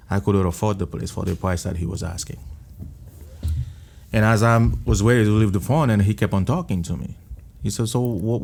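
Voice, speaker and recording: A man from Haiti with a Haitian accent shares his life story in an interview at a restaurant. His voice is low and warm with a gravelly edge. He speaks haltingly and earnestly, circling back and repeating words. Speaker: restaurant owner and missionary.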